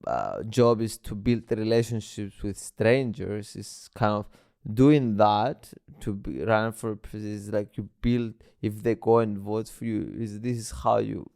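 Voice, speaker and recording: A man's voice: fairly low pitch, creaky, a little mellow. A young man from Cyprus who hosts a podcast, chatting casually with his guest and searching for his words. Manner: slow, searching, uses kind of often. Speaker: podcast host. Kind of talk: casual interview chat.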